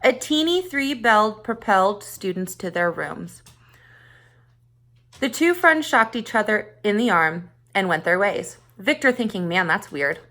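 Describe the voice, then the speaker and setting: Woman teacher reading a kids story out loud, normal pitch, puts some expression on the key words. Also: sing-song, a little slow. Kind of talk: read-aloud narration of a children's short story.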